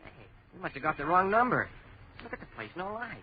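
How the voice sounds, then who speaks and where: Fast-talking, wary American tourist. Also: male voice, sits high, big pitch swings. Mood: grumbling. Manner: slangy, suspicious, complaining. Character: wary, grumbling young American male tourist, skeptical sidekick. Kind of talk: 1930s-40s radio drama acting. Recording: dull vintage radio recording, crowd behind.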